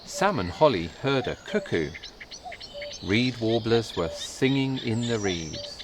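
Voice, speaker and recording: A man reading a children's story aloud in a measured, careful delivery. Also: medium pitch, sing-song, background noise. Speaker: storybook reader. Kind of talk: scripted children's story narration.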